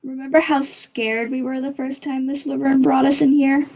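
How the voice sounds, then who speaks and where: A woman's voice: high voice, flat delivery, normal speed, a little mellow, voice pushed up. A young woman voicing a breezy, teasing schoolgirl in a story reading. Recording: dull lo-fi recording.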